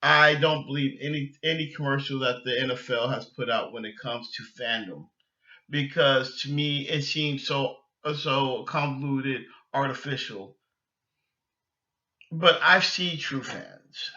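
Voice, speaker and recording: A man hosting a sports fan podcast, ranting off the cuff in a halting, repetitive, exasperated way. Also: mid-range voice, pushed and bright. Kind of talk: unscripted sports fan rant. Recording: dull lo-fi sound, echoey room.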